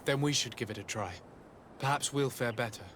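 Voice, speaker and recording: A polite fantasy-game envoy, played by a man, composed and formal in manner. He has a medium-pitched male voice, heard over clear background ambience. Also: a little fast, fairly bright tone, slight background noise, lightly acted. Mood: thoughtful. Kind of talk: video game dialogue.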